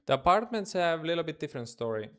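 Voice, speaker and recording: Smooth-voiced Estonian man, casual live stream. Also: a little high, very animated, fast talker. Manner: casual, chatty, thinks aloud in loose non-native English. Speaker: day trader streaming live. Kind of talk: live stream commentary, answering chat. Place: his room at home.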